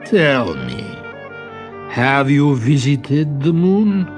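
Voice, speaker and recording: A man voicing a haughty, bullying prince in a storybook, quick to anger, with imperious commands. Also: mid-range voice, very animated, over music, pitched above the performer's own voice. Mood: sneering anger. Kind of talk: storybook character acting.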